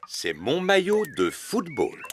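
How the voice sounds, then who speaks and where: A man's voice: a little high, huge pitch swings, slow, background noise, full character voice. A man voicing a jolly, good-natured father pig in a cartoon, hearty and fatherly. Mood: jovial. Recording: cartoon music behind the voice.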